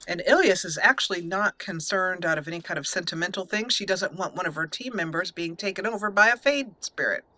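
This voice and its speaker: Bright-voiced woman doing game commentary. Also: on the low side, fairly quick, few pauses. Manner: chatty, thinking aloud. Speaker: gaming channel host. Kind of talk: casual let's play commentary. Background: game music.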